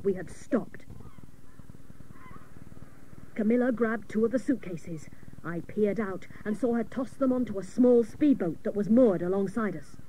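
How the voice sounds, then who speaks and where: An actress playing a hapless, literal-minded female private detective, narrating a comic radio serial with mock-dramatic seriousness and deadpan jokes. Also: medium pitch, moderate pace, natural voice. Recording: noisy old cassette of radio broadcast.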